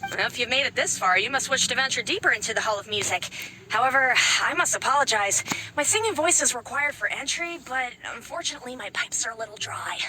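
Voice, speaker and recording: A giant talking flower gatekeeper, voiced by a woman, easygoing and friendly, full of casual slang. The voice sits high, and steady background noise hums under the game audio. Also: quick pace, natural voice. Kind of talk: video game dialogue.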